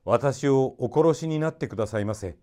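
A man's voice: medium pitch, moderate pace, mellow, lightly acted. A man voicing a loyal young storybook hero, speaking formally and earnestly. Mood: pleading.

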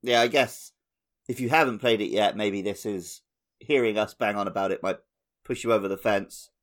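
Low-voiced man chatting casually. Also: normal speed. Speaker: podcast host. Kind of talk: casual chat, anecdotes.

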